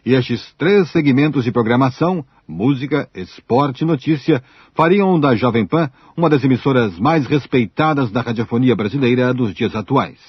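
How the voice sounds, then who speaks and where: A man's voice: medium pitch, expressive, moderate pace, slightly rough, dry recording, dull audio. A man with a Brazilian accent reading scripted radio documentary narration.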